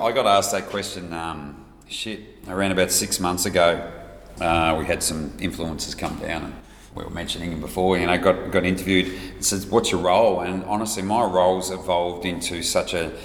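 Low voiced aussie bloke rambling and joking about himself, big roomy echo. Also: male voice. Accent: Australian. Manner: rambling, jokey, self-deprecating. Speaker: guest, whiskey brand ambassador. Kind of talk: casual chat. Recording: roomy reverberant space with faint noise.

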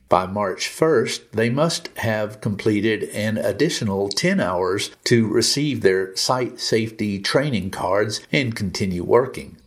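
A man presenting a scripted industry news update on a podcast. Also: lowish voice.

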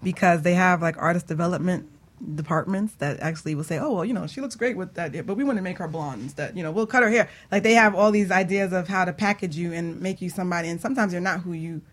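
A woman chatting casually on a panel, telling examples in a lively, informal way. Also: fairly low pitch, fast talker. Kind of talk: casual chat.

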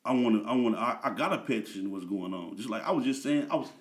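A man's voice: mid-range voice, animated, very fast. A man in his thirties, a guest in a casual interview, talking in a laid-back, slang-heavy way with lots of fillers.

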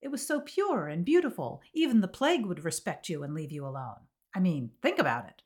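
A woman's voice: on the low side, very animated, a little fast. Woman acting a swooping, grandiose, zealous oddball co-host. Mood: manic, insistent. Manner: grandiose, emphatic, argumentative. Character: flighty theatrical woman dabbling in homemade magic, zealously absurd. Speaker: podcast co-host. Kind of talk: scripted comic podcast banter.

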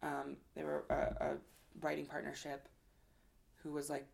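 Low gravelly woman talking frankly. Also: completely flat delivery, unhurried. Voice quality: loud voice. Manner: frank, rambling, swears casually, many fillers. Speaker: guest. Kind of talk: candid conversational interview.

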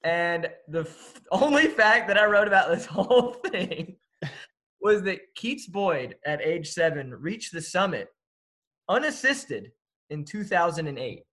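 Playful co-host man, higher voice. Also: very animated, unhurried, pushed and bright. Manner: playful, self-mocking. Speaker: podcast co-host. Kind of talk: casual podcast chat.

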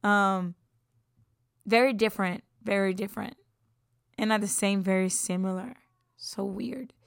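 A woman's voice: mid-range voice, fairly even intonation, unhurried, creaky, clean tone, dry recording, studio quality. A woman in her twenties from Puerto Rico, chatting casually about herself as a podcast host, upbeat and laughing often, sometimes dropping into a whisper. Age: 20s. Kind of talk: casual self-introduction.

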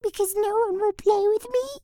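A man voicing a tearful little girl caterpillar in a storybook, with a squeaky, wailing manner. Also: steady tone, normal speed, dark tone, dry recording, studio quality. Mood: sobbing.

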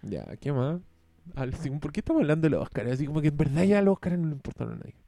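A man's voice: a little high, sing-song, very fast, creaky, dark tone, dry recording, faint music behind. A man with a Chilean accent co-hosting a casual film chat podcast at home, rambling, joking and swearing freely. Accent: Chilean Spanish. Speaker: podcast co-host. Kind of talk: casual chat about films.

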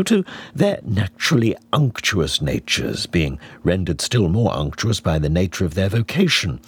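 A man reading a classic novel as an audiobook narrator, in a measured, expressive, mock-scholarly manner. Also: low voice, slightly rough. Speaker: audiobook reader.

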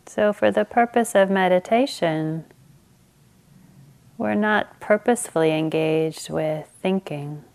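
Slow, gentle woman guiding meditation. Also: lowish voice, gravelly. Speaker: meditation teacher. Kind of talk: guided meditation.

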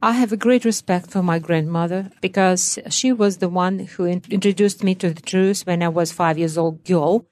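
A woman's voice: lowish voice, moderate pace, hardly any pauses, a little mellow, no room sound. A middle-aged woman from Russia with a Russian accent, earnestly explaining things as a guest in a radio studio interview. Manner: earnest, explanatory, non-native grammar. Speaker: guest, education scholar.

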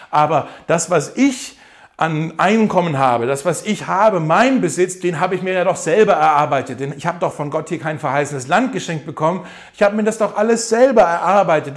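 A man preaching a sermon, emphatic and direct, slowing down to repeat key phrases. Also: on the high side. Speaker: pastor.

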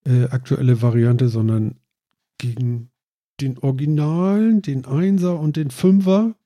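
A man's voice: medium pitch, unhurried, dark tone, dry recording. A man who sounds nasal from a stuffed nose, hosting a casual chat and rambling with many fillers.

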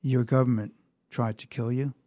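A man interviewing a guest, asking gentle, careful questions. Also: mid-range voice, level tone, normal speed, no room sound, studio quality.

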